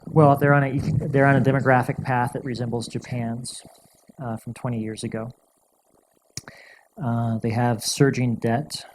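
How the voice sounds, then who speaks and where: Measured man answering questions, even tone. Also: medium pitch, background noise. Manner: measured, thoughtful, restarts and repeats words. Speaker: guest speaker answering audience questions. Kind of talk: lecture Q&A answers.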